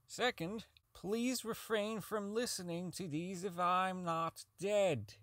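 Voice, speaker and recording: An actor playing a socially inept, bitter robotics engineer in an audio drama log, rambling, halting, swearing and putting himself down. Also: male voice, sits high, a bit bright, no room sound, studio quality. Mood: irritated, awkward. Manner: rambling, halting, profane, self-deprecating. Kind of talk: audio drama monologue as a recorded personal log.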